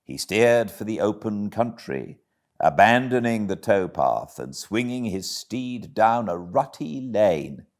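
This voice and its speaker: A British man narrating a classic storybook as an audiobook reader, with expressive delivery. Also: on the low side, slow pace. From Britain.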